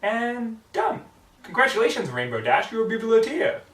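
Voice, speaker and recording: A man voicing a young female unicorn librarian, earnest, fussy and easily excited, in a story reading. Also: extremely high pitch, moderate pace, roomy sound, distant mic, pitched above the performer's own voice, exaggerated voice. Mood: gushing, excited. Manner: exasperated, fussy, prone to gushing.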